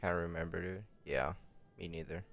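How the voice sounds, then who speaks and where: A man's voice: low voice, fairly even intonation, moderate pace, vocal fry, highs cut off. A man chatting casually as he streams a game, muttering in a laid-back way and swearing often. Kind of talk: casual gameplay stream chat.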